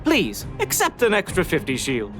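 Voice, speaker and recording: A woman's voice: lowish voice, huge pitch swings, normal speed, over music. A woman voicing a polite, gracious auction house attendant in a video game, formal and courteous. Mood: delighted, grateful. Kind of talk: animated video game voice acting.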